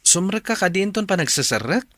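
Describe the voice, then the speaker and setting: Masiglang binatang pinsan. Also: male voice, high-pitched, very animated, a little fast, a bit bright, no room sound. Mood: curious, friendly. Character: friendly, eager young male student cousin. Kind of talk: Ilocano radio drama acting.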